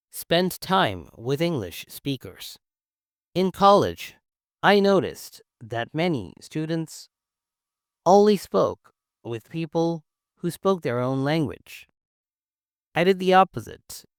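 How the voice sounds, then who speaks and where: A young man from China with a Chinese accent, whose first language is Mandarin, teaching English in an encouraging, clear and simple way. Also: fairly high pitch, no echo, studio quality. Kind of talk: scripted English-learning lesson.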